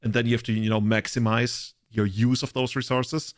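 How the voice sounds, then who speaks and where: A man's voice: medium pitch, little pitch movement, normal speed. A man co-hosting a casual podcast chat, rambling and joking, with many filler words. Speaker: podcast co-host.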